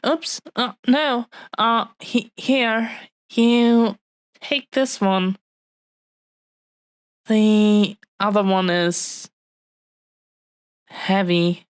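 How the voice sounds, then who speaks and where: A woman playing an affectionate, possessive dragon-blooded fiancée in an intimate romantic audio roleplay, speaking tenderly and haltingly to the listener. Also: medium pitch, fairly even intonation, clean tone, no room sound. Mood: flustered, playful. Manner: tender, halting, lingering over short phrases.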